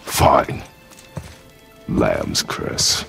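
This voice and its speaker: A man acting a stern, guarded warrior god and father in a video game, gruff, gravelly and curt. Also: very slow, fairly bright tone, soft background music. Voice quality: very deep, gravelly.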